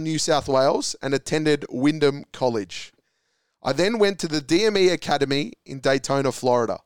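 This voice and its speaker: A man's voice: a little high, smooth, no room sound, clean studio sound. An Australian man hosting a sports quiz podcast, upbeat as he reads clues and calls answers. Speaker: quiz host.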